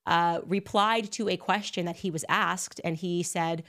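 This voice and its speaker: A woman's voice: lowish voice, steady tone, a little slow, fairly bright tone. A woman host giving a casual, emphatic monologue, full of asides and fillers. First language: English.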